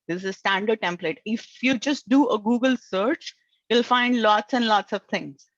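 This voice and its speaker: A woman's voice: on the high side, normal speed, no echo, lo-fi sound. A woman with an Indian accent, for whom English is a second language, giving earnest networking advice and often tagging her lines with "right?". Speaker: career coach. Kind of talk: advice talk.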